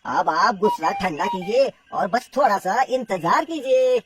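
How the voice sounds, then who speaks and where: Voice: medium pitch, animated, unhurried, far from the mic, lightly acted. A performer voicing a sly, coaxing male woodcutter who boasts as a trickster in a storybook horror tale. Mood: placating, sly. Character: sly male woodcutter posing as a child-eating sorcerer.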